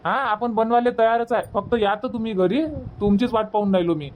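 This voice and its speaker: A man's voice: high-pitched, steady tone, fairly quick, a little mellow, slight background noise, natural voice. A man voicing an eager, teasing village son in a cartoon comedy, insistent and colloquial, with a Varhadi Marathi accent. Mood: eager persuasion.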